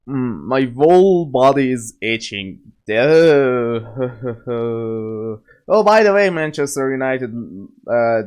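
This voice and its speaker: A man's voice: medium pitch, darkish tone, clean tone. A man casually chatting as he streams a game, with a rambling, offhand manner. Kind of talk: casual chat over gameplay.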